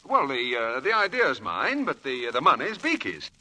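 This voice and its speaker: An actor playing a brusque, evasive husband in 1940s radio acting style, on a vintage broadcast recording. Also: male voice, medium pitch, huge pitch swings, moderate pace, bright, forceful tone, exaggerated voice. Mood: casual, evasive. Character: brusque, evasive, domineering husband with a shady scheme.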